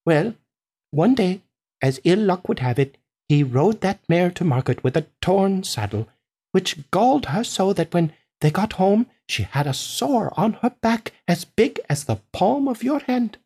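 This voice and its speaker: A sly farmer, voiced by a woman reader, spins a tall storybook tale. The pitch swoops about animatedly, and the delivery is emphatic, rustic and slightly husky. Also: sing-song, mellow, full character voice. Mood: boastful, unhurried. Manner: unhurried, emphatic storytelling with rustic turns of phrase.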